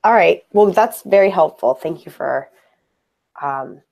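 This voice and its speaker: Lower-voiced woman asking patient questions. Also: animated, unhurried, gravelly, darkish tone. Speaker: software team researcher interviewing a user. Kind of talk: user research interview.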